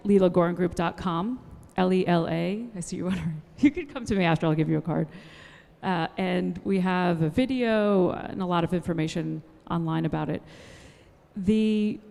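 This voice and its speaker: A woman's voice: lowish voice, vocal fry, warm tone. A woman on a conference panel, answering an audience question and enthusiastically promoting her project. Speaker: panelist, developer. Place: conference hall. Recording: long hall reverb.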